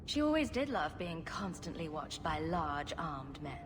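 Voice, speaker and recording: Sarcastic rogue heroine in a game, dry and flippant, voice a bit low. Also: female voice, sing-song, unhurried, a little hiss or hum. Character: sarcastic, wisecracking female rogue adventurer. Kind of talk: video game dialogue acting.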